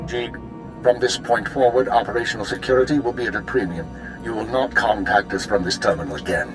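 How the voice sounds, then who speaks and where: Imperial spy handler, male actor: mid-pitched, precise and commanding, formal game dialogue, light music bed. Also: steady tone, fairly quick, close to an everyday voice. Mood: cool, commanding. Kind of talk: video game voice acting.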